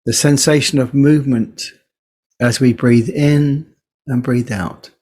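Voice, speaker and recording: Man, meditation teacher, guided mindfulness, slow pace, medium pitch, gentle, pausing often. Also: fairly even intonation. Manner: gentle, unhurried, pausing between short phrases. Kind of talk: guided body scan meditation.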